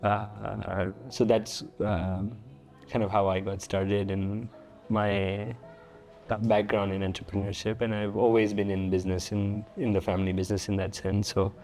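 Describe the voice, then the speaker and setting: A middle-aged man from Tanzania speaks as an interview guest, relaxed and unhurried, with frequent fillers and small restarts. His voice sits low and mellow, and he keeps it fairly flat. Soft music plays faintly behind him. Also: gravelly. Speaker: founder and guest. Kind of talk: casual interview talk.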